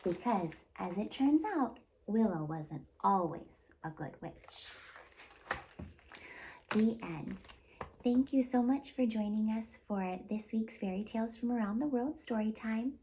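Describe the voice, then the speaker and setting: A woman with a mellow voice reads a picture book for children. She takes her time and narrates deliberately and expressively, as a storytime host. There is some faint noise on the recording. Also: fairly high pitch, animated.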